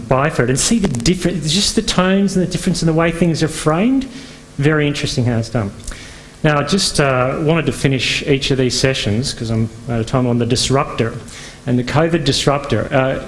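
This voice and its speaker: A man's voice: medium pitch, slight background noise, poor audio. A man from Northern Ireland giving a conference talk as a pastor, speaking conversationally with frequent fillers and restarts. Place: conference venue.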